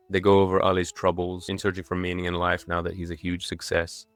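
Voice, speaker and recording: A man's voice: low voice, fairly bright tone, dry recording. A man in his twenties, raised in Dubai, delivering a scripted personal narration in a lightly self-mocking, tongue-in-cheek manner. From born and raised in Dubai in a Croatian family, moved to England as a child. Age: 20s. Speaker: video creator.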